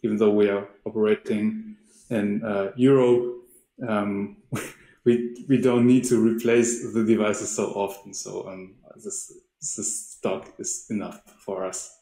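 Gravelly man speaking hesitantly in an echoey room. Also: on the low side, fairly even intonation, warm tone. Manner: hesitant, halting with many fillers. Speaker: company representative. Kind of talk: webinar presentation.

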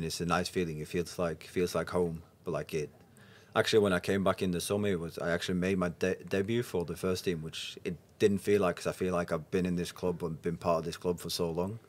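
A man, speaking English casually as a podcast guest. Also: sits low. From Norway. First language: Norwegian. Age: 20s. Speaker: podcast guest, professional footballer. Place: indoor lounge booth. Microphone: podcast microphone on boom arm.

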